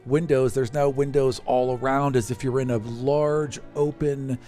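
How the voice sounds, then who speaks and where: A man's voice: medium pitch, level tone, unhurried, mellow, faint music behind. A man narrating a tabletop game as game master, casual and conversational, improvising explanations as he goes.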